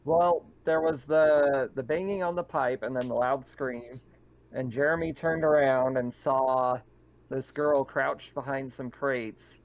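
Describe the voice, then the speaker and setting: A man acting as game master, talking casually as he runs a tabletop roleplaying game. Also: medium pitch. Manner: casual, conversational. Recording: dull, lo-fi mic with faint noise.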